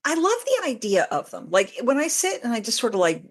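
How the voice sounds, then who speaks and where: A woman's voice: fairly high pitch, big pitch swings, fast talker, husky. A woman chatting casually as a podcast guest and fan fiction author, telling stories in a rambling, talkative way. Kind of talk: casual interview chat.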